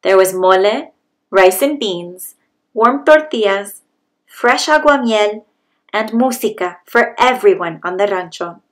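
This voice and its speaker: Slow-paced woman reading a storybook. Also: medium pitch, expressive. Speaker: storybook reader. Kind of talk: children's story read-aloud.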